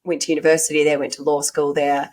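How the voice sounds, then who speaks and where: A woman's voice: low-pitched, level tone, fast talker, darkish tone, slight background noise. A woman from New Zealand with a New Zealand accent, talking conversationally as an interview guest in an informal, hedging way. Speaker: podcast guest.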